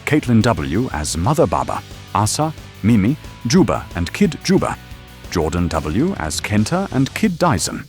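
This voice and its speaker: A man narrates an audio drama in a deep voice with a very animated melody. The delivery is scripted and dramatic, with faint music behind. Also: unhurried.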